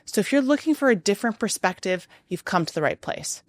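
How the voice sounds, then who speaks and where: A middle-aged woman whose first language is Arabic, hosting a podcast from a hotel room in an earnest scripted monologue of rhythmic lists and emphatic pauses. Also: medium pitch, very animated. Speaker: podcast host.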